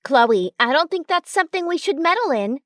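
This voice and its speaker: A woman's voice: high voice, big pitch swings, fairly quick, dry recording, studio quality, voice pushed up. A woman voicing a caring but firm werewolf mother in a story. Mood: scolding.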